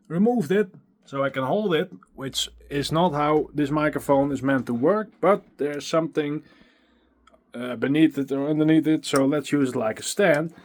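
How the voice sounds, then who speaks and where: A young man with a Dutch accent vlogging casually from his small home studio, chatty and self-deprecating, a little out of breath. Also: a little high. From Netherlands. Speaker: music producer vlogger. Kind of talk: casual vlog talking to camera. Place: small home studio with acoustic foam, indoors. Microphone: handheld phone at arm's length.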